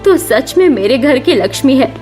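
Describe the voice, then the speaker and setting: A woman playing a stern, sharp-tongued middle-aged mother-in-law in a cartoon family melodrama, speaking emphatically. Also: high voice, lively intonation, normal speed, faint music behind. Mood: remorseful. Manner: scolding, emphatic.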